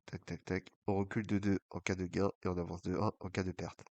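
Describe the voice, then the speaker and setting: A deep-voiced man with a head cold talks in a flat, even tone, his words thick and nasal from a blocked nose. He narrates a casino session casually and drifts into mumbling to himself as he clicks through bets. A clear hiss runs under the recording. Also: fairly quick. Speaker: online casino streamer. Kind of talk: casual play-by-play of an online baccarat session.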